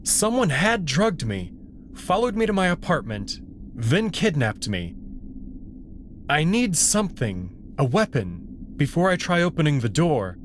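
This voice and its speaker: Slow, lively male story narrator. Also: fairly high pitch, slight background noise. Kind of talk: dramatic first-person story narration.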